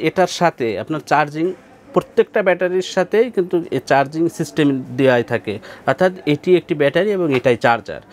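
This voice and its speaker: A man's voice: a little high, slight background noise. A Bangladeshi man with a Bengali accent, a shop salesman giving a chatty product demonstration in an electronics shop.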